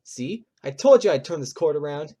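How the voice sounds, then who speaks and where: An actor playing a cocky, cheeky gangster witness in an audio drama, brash and casual. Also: male voice, fairly high pitch, lively intonation, moderate pace, dark tone. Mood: smug.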